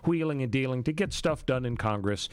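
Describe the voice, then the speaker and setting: A man's voice: medium pitch, animated, fairly quick, clean tone, dry recording. An American man hosting a radio talk show with conversational political commentary. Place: radio studio.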